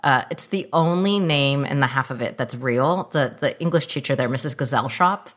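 A middle-aged woman, born in the United States with Mandarin as her first language, interviewed as a filmmaker and telling animated anecdotes with laughter. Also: very deep voice, moderate pace. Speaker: filmmaker guest. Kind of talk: interview storytelling.

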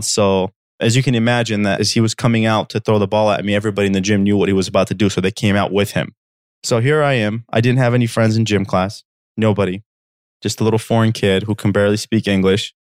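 A man's voice: fairly low pitch, clear, resonant tone, no echo, clean studio sound. A man in his thirties from Armenia, a podcast host telling a personal story in an earnest, direct way and checking in with listeners. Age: 30s.

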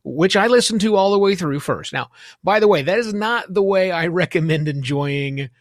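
A man's voice: high voice, animated, normal speed, no room sound, studio quality. A man hosting a podcast interview in a conversational, emphatic way, repeating words for emphasis.

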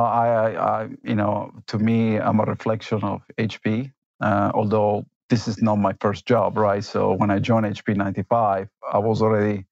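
Gravelly low-voiced man, reflective. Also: a little mellow, no room sound, highs cut off. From Argentina and Italy. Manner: reflective, many fillers and tag questions. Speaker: company executive, interview guest. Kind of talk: conversational interview answers.

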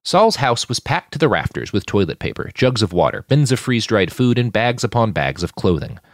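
Very expressive, lowish-voiced male narrator. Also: fairly quick, no echo, studio quality. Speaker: audiobook narrator. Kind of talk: scripted fiction narration.

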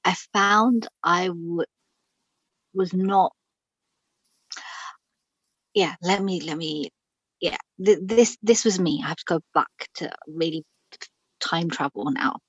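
A middle-aged woman from Pakistan tells her own story as an interview guest. Her voice sits low and husky, and the recording is dry and close. She speaks frankly and reflectively, with plenty of fillers and false starts. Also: slow pace, pauses often, dry recording, highs cut off.

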